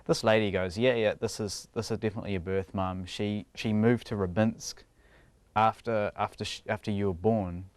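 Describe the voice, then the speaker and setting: A man's voice: on the low side, steady tone, moderate pace, no echo. A young man with a New Zealand accent, chatting casually in a TV interview and laughing often between his thoughts.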